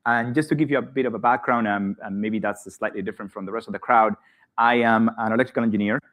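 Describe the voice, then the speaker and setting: A man with a Spanish accent presents to a conference audience in a somewhat low voice. He talks in a loose, halting way, often restarting phrases and filling pauses. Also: steady tone, fairly bright tone. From Spain. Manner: hesitant, many fillers and restarts, light laughs. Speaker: conference speaker. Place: conference hall.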